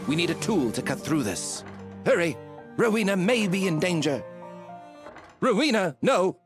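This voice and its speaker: Anxious, expressive nobleman, slightly high. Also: normal speed, loud music behind the voice. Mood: urgent, pleading. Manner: earnest, melodramatic, urgent. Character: anxious, devoted nobleman fearing for his wife. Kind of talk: theatrical game cutscene acting.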